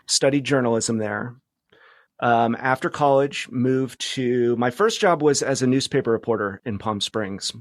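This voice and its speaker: A man's voice: medium pitch, a little slow, dry recording, studio quality. A middle-aged American man from California, recounting his career casually as an interview guest. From California, United States. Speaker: podcast guest, journalist.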